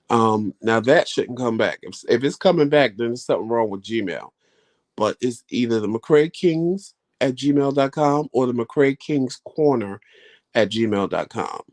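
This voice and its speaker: A man's voice: mid-range voice, expressive, measured pace, darkish tone. A man hosting a vlog live stream, chatting casually with viewers in a rambling, folksy way. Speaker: vlog host answering live chat.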